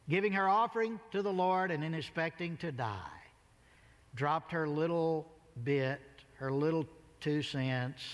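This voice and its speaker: A male pastor preaches in a big, reverberant space. His voice sits high for a man and he takes his time, telling scripture as a dramatic story. He is emphatic and theatrical, circling back to phrases for effect. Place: large church sanctuary before a congregation. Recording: reverberant large hall.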